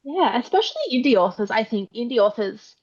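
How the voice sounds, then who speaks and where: A woman's voice: a little high, very animated, a little fast, darkish tone, husky, lo-fi sound. A young Australian woman chatting as a guest author in an interview, full of fillers and quoted asides. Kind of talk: casual interview chat.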